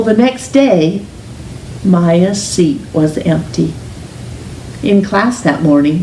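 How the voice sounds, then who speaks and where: A woman reading a children's picture book aloud in an expressive, gentle, deliberate storytelling manner, with drawn-out emphasis on words. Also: fairly low pitch. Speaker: storytime reader, teacher. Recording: roomy, distant mic with faint hiss.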